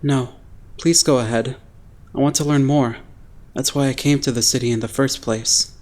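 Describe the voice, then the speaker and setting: A woman's voice: very deep voice, fairly even intonation, moderate pace, darkish tone, soft background music. A woman voicing an earnest, naive, friendly country teenage boy in an anime fandub, frank and polite. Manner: frank, literal-minded, polite. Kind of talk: anime-style fandub voice acting.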